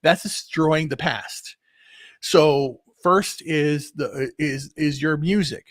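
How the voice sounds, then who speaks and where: A man in his fifties from Texas, hosting a casual, opinionated talk with passion and many halting restarts. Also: a little high, a bit bright, no room sound, clean studio sound. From Texas, USA. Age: 50s. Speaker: podcast host.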